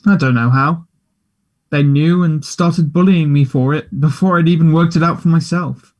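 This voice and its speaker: Guy acting a teen schoolboy, kinda high voice, self-deprecating, earnest n teasing, table read of a play. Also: moderate pace, darkish tone, natural voice. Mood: candid, vulnerable.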